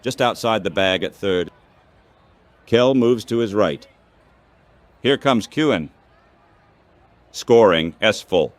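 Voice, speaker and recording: Slow, measured baseball announcer man. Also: lowish voice, lively intonation, no echo. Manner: measured, clipped short phrases with pauses. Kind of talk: scripted radio baseball commentary.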